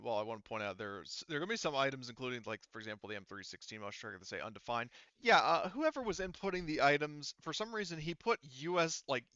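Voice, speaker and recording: A man's voice: medium pitch, sharp tone, clear, resonant tone, no echo, dull audio. A man acting as game master, casually explaining rules to players over an online call and swearing freely. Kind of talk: casual explaining during an online tabletop roleplaying session.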